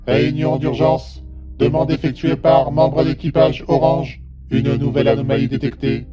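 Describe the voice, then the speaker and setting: A man's voice: mid-range voice, normal speed, lo-fi sound, close to an everyday voice. A man voicing an impassive shipboard computer that makes formal announcements in an audio drama. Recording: loud music behind.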